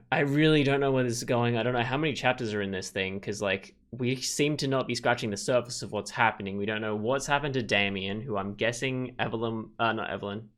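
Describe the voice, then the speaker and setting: A man's voice: medium pitch, fairly bright tone. A man hosting a gaming video with casual, blunt, musing commentary as he plays. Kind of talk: casual let's play commentary.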